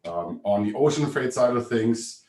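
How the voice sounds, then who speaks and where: A man with a German accent, giving a brisk, matter-of-fact business presentation on a video call. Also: medium pitch, animated, a little mellow. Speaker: webinar presenter. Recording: echoey room, distant mic.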